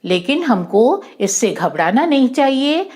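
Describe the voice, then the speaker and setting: A woman's voice: on the high side, very animated, a little slow, darkish tone. A woman telling a moral story to children informally, in an instructive way, repeating points and mixing in English words. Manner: didactic, repeats and rephrases, mixes in English words.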